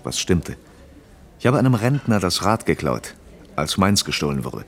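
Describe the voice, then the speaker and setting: A man acting in a German radio drama as an earnest, firm factory director, speaking in a measured, reasoning manner. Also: low voice, big pitch swings, creaky, heavily acted. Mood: firm, defensive.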